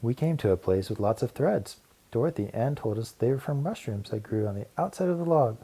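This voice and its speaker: A man reads a children's audiobook aloud in a mellow, soft-edged voice. His pitch swings widely as he tells the story in an expressive, animated way. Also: a little fast, slightly rough. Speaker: audiobook reader.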